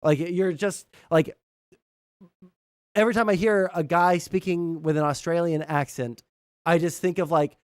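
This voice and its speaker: A man's voice: on the high side, steady tone, a little slow, clean tone, dry recording, studio quality. A young American man giving casual, rambling, blunt political commentary as a livestream host, swearing freely. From southern United States.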